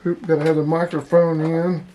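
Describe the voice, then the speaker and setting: A man's voice: on the high side, level tone, moderate pace, mellow, a little hiss or hum, poor audio. A man giving casual, hands-on unboxing commentary, informally thinking aloud as he handles the gear. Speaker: vlogger unboxing radio gear.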